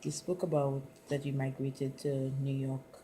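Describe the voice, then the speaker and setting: A woman's voice: a bass voice, little pitch movement, measured pace, dark tone, slight background noise. A middle-aged woman from the Caribbean, chatting casually and leaning on "you know" fillers as she interviews her partner. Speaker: student interviewer. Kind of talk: casual conversation.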